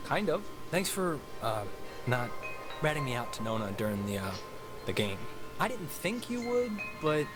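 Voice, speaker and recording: A man playing an excitable, mischievous teenage slacker in a video game, with a joking, slangy delivery. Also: a little high, normal speed, rough recording. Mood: sheepish, candid. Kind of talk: naturalistic video game dialogue acting. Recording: music behind the dialogue.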